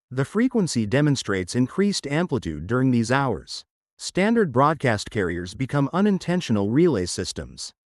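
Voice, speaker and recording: Man playing a grave official broadcast announcer, analog horror warning, formal, detached, measured, mid-pitched, very dry recording. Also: no echo, lightly acted. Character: solemn male emergency broadcast announcer issuing eerie official warnings. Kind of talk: scripted analog horror public advisory narration.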